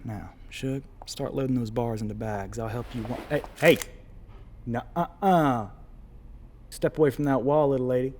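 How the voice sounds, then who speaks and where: A man's voice: mid-range voice, normal speed, noisy background, close to an everyday voice. A man voicing a volatile, scheming bank robber in an audio roleplay, with a folksy Southern US accent and coaxing pet names for his partner. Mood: smooth menace, then commanding, alarmed.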